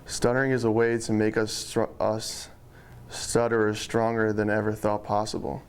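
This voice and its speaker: A young man giving a prepared speech to friends and family, speaking slowly and deliberately in a controlled way. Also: on the low side, level tone, smooth. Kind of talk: prepared speech read to an audience. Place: room with a small audience of friends and family.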